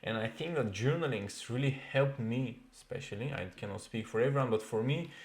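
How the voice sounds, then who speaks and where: A man's voice: medium pitch, brisk. A man, a coach co-hosting a podcast, talking earnestly in a casual chat and repeating phrases for emphasis. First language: Bulgarian.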